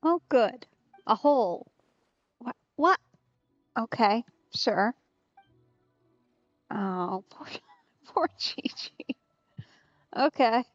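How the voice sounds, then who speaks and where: Unhurried, higher-voiced woman streamer. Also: expressive, dry recording, dull audio. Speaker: streamer, let's player. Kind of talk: casual game commentary.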